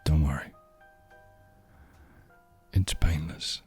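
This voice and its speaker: A man playing a calm, menacing noir crime boss. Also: extremely low pitch, soft background music, full character voice. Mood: cold menace. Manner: slow, soft, partly whispered villain speech. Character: cold shadowy male crime entrepreneur, calm and menacing. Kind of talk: ASMR noir roleplay.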